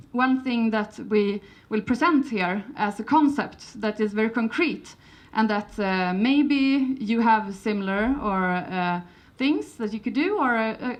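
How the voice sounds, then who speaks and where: A woman from Sweden with a Swedish accent, giving a conference presentation in English, hesitant, with many fillers and restarts, and a light humour. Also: medium pitch. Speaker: conference speaker.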